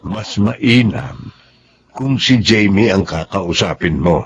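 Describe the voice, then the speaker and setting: A well-off middle-aged uncle giving counsel in a radio drama. His Filipino-accented voice is husky and creaky, with lively rises and falls. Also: low voice, takes it slowly, dull audio, full character voice. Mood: grave, advising. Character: concerned, advising uncle, a well-off older gentleman.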